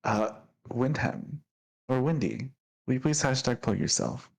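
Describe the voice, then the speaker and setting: A man's voice: mid-range voice, sing-song, a little slow, vocal fry. A man acting as dungeon master, introducing the players and narrating the scene with upbeat energy. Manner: upbeat, laughing, descriptive narration.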